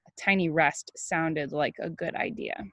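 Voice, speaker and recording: Female kindergarten teacher, slightly low voice, dry recording, expressive read-aloud with casual asides to the class. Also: a little slow, no room sound. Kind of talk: read-aloud storytime for young children.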